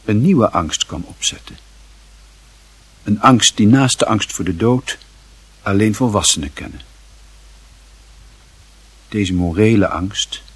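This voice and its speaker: A man acting as the grave, reflective narrator of a literary radio play, husky and measured in his solemn delivery. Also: low-pitched, full character voice. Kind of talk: scripted dramatic narration.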